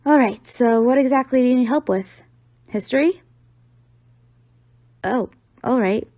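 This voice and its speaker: A woman's voice: on the high side, unhurried, dark tone, no room sound. Woman acting as a teasing college girlfriend, dry recording. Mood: helpful. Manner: playful, teasing. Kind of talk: audio roleplay, addressed to the listener.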